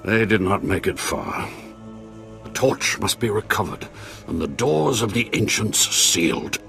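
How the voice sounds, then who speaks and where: An actor playing a grave, anxious Sith lord scholar with a formal, theatrical manner and husky voice in a video game. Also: male voice, lowish voice, animated, a little slow, music in the background. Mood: grave, ominous. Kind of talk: video game cutscene acting.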